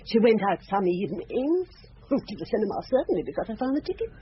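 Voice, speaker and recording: Disapproving gossipy landlady for radio drama, female actor, very lively intonation, slightly noisy muffled recording. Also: medium pitch, fast talker, close to an everyday voice. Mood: disdainful. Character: disdainful, gossipy Parisian landlady. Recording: dull radio sound, faint background noise.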